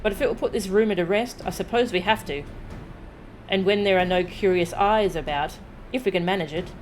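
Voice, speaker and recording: A woman's voice: medium pitch, fairly quick, faint music behind, natural voice, poor audio. A woman voicing a headstrong, emotional young woman resistance leader, reading video game dialogue aloud in an emphatic, exclamatory way. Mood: reluctant, resolute.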